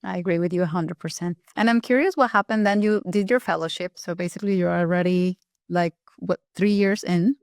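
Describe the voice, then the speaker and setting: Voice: mid-range voice, steady tone, brisk, vocal fry, dry recording. A supportive interview host with a mid-range voice, asking short questions and agreeing readily. The sound is close and dry, with no room around it. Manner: supportive, brief backchannels and questions. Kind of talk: casual interview chat.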